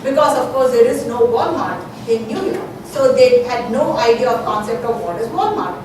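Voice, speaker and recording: A woman's voice: fairly high pitch, animated, moderate pace. Woman academic presenter, conversational and explanatory, heard from far off in a very echoey room. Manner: conversational, explanatory, frequent 'you know'. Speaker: college business lecturer presenting. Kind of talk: informal conference presentation. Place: large echoey room, recorded from afar. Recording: echoey room, distant mic, noisy.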